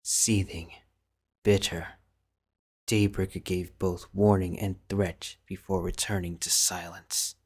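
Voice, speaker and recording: A man narrating a fanfic audiobook in a measured, grave storytelling manner. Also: sits low, little pitch movement, darkish tone, slightly rough. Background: background music.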